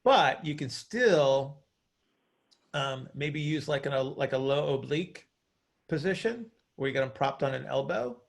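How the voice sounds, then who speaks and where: A man hosts a coaching call in an informal, hands-on way. His mid-pitched voice has a lot of creak and fry. He explains things conversationally and keeps checking that people follow. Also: animated, measured pace. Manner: informal, hands-on, checks understanding with short tag questions. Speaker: host and coach. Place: group video call.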